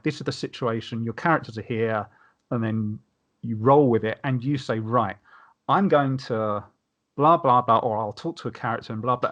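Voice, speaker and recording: A man's voice: medium pitch, fairly bright tone. A middle-aged man in a casual podcast discussion, rambling a little as he thinks aloud. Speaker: podcast guest.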